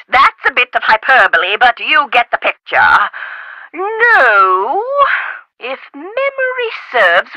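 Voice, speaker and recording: Shrill, flamboyant lady scholar on the phone. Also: bright, forceful tone, no room sound, heavily acted, rough recording. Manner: theatrical, haughty, gushing, precise diction. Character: flamboyant, impatient, food-obsessed eccentric woman scholar. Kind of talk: video game voice acting.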